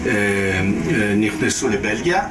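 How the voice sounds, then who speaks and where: A man in his eighties giving a personal testimony, halting with many pauses. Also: on the low side, animated, over music, low-quality recording. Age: 80s. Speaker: interviewee.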